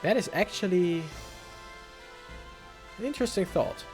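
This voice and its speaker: A woman giving casual let's play commentary, thinking aloud in a halting, rambling way. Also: on the low side, expressive, a little mellow, soft background music. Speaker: let's player commentating a video game.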